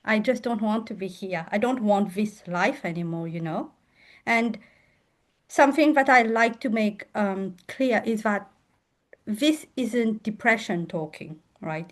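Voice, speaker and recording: A woman's voice: medium pitch, darkish tone. Earnest woman sharing her story. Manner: earnest, repeats phrases for emphasis. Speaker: guest, chronic pain coach. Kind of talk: heartfelt interview conversation.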